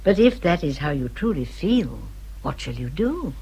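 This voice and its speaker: A woman's voice: low voice, very animated, moderate pace, warm tone, a little hiss or hum, low-quality recording. An actress playing a fond, opinionated middle-aged English lady in a radio period drama, refined, effusive and emphatic. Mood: concerned.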